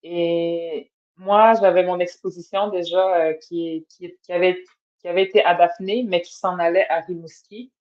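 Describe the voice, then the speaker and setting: A woman's voice: on the low side, fairly even intonation, unhurried, a little mellow. A woman from an Atikamekw community, a guest artist speaking casually and hesitantly on a podcast. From Atikamekw community in Quebec. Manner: hesitant, many fillers and restarts. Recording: dull lo-fi sound.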